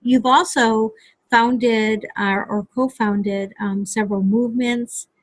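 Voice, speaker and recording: A woman hosts a live stream on a video call, speaking slowly and carefully. She pauses often, with ums and restarts as she gathers her words. Her voice is a little high and sounds dry and close. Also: fairly even intonation, dry recording. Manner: hesitant, many ums and restarts. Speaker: host.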